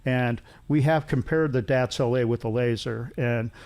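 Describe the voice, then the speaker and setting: A middle-aged or older man giving casual technical explanations as an interview guest, in a halting, rambling way with repetition. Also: mid-range voice, darkish tone. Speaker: interview guest, loudspeaker engineer.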